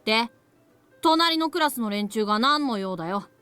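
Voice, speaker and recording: A woman voicing a short, rude, arrogant schoolboy in a Japanese visual novel, brusque and rough. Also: fairly high pitch, animated, fast, bright, forceful tone, dry recording. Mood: sullen, suspicious. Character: cocky, rude, short schoolboy, bento shop owner's son. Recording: game audio with music behind.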